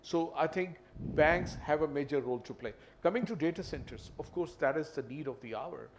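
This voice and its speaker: A man with a Pakistani English accent, speaking on a business panel and often saying "you know" as he halts. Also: a little high. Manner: halting, with frequent "you know". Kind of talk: panel discussion. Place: event hall. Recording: faint background noise.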